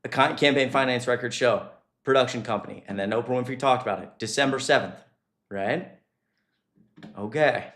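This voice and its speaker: A male streamer delivers casual political commentary in an exasperated manner. He speaks off the cuff, often halting and reworking his point, and swears as he goes. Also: mid-range voice, a bit bright.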